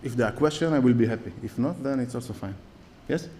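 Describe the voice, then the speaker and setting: Israeli-accented man with a mellow voice. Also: medium pitch, fast, a little hiss or hum. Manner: hesitant, many fillers and restarts. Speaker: conference speaker. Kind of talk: conference talk with audience questions. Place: large conference hall on stage. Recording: long hall reverb.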